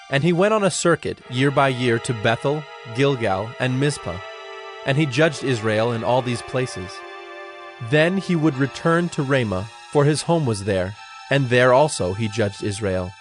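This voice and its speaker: Guy narrating bible story, measured and solemn, mid pitch, faint music behind. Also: expressive. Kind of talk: scripted narration of scripture.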